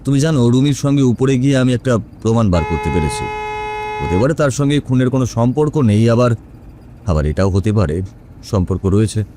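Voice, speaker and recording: A man playing a calm, probing detective in an audio drama, questioning in a measured way with thoughtful pauses. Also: medium pitch, slight background noise, lightly acted.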